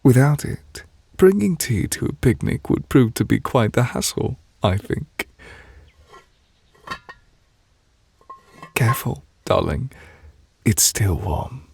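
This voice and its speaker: A man acts out a soft-spoken male witch, deeply in love and quietly possessive. His voice is hushed and slow, with a gravelly fry at its edges. He speaks with gentle, formal refinement, very close and dry, as in a romantic ASMR audio roleplay. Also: very animated, no echo, full character voice. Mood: fond, wistful. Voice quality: breathy, hushed. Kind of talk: ASMR romantic roleplay, intimate character acting.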